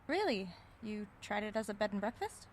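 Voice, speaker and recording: A woman's voice: medium pitch, very animated, a little fast, a little hiss or hum, lightly acted. A woman playing an inquisitive, polite but stubborn young writer in an audio drama, natural and articulate with a wry touch. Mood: polite, firm.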